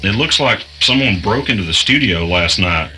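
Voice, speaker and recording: An actor playing a weary, low-key podcaster who talks in a hesitant, laconic way in a naturalistic audio drama. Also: male voice, animated, normal speed, sharp tone, rough recording. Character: weary, low-key studio podcaster investigating an arcade cabinet.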